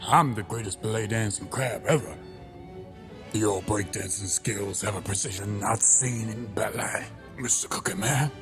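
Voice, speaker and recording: A low-pitched crab detective, acted by a man, pompous and sentimental. He tells his log with grand melodrama for a comic audio drama, over music. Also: normal speed, natural voice. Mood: impressed. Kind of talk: comedic audio drama narration and dialogue. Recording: music behind the voice.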